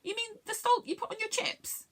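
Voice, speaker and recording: A woman's voice: very high-pitched, moderate pace, fairly bright tone, pitched above the performer's own voice. A woman voicing an eager, naive, chirpy storybook creature in a children's tale. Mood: surprised. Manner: chirpy, exclamatory.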